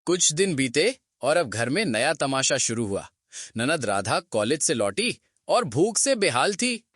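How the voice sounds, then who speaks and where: A man narrating a cartoon story in an expressive, dramatic way. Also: fairly high pitch, measured pace, no echo, clean studio sound.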